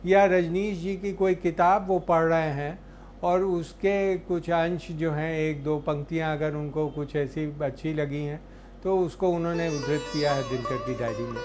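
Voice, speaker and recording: A man speaking unscripted as an interviewee, recollecting in a halting way and searching for words. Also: fairly high pitch, fairly even intonation, darkish tone, soft background music. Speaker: interviewee recounting a poet's life. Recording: faint background ambient noise.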